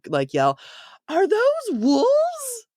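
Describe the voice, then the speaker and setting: Animated, excitable woman chatting on a podcast. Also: very high-pitched, extremely slow. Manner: excitable, giggly, theatrically quotes own reactions; informal, peppered with "like". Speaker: podcast co-host. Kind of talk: casual, chatty book discussion.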